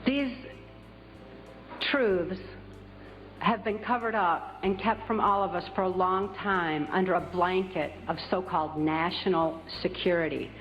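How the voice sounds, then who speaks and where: Woman speaker, slightly low voice, emphatic and deliberate, dull recording with a long hall echo. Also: soft background music. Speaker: speaker giving testimony. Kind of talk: public speech.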